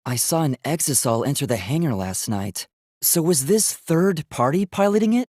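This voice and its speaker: A man's voice: fairly high pitch, lively intonation, normal speed, darkish tone, dry recording, clean studio sound. A man voicing a polite, earnest, logical young male robot in a video game, speaking formally and precisely. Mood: insistent, logical. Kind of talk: English video game voice acting.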